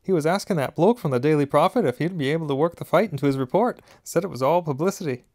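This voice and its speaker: A man voicing a cheeky, excited teenage wizard boy in a storybook, chatty and eager. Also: fairly high pitch, fast talker, voice pushed up, natural voice. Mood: gleeful.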